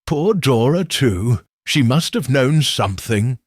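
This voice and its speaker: A nervous wife of a colonel in a storybook mystery, read by a male narrator. Her chatter is light and strained, with lively pitch and a faintly husky tone. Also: slow, no echo, studio quality. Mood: uneasy.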